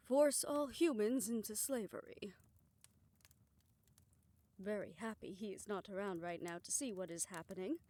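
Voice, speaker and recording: A woman performing a scripted audio roleplay, voicing several characters in a soft, hushed way that often drops to a whisper. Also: medium pitch, dry recording.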